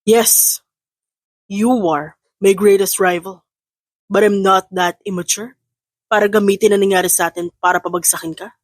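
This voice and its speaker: A woman's voice: mid-range voice, fairly even intonation, moderate pace, no echo, lightly acted. A woman voicing a smug, teasing young businessman in an audio drama, with a smirking, amused manner.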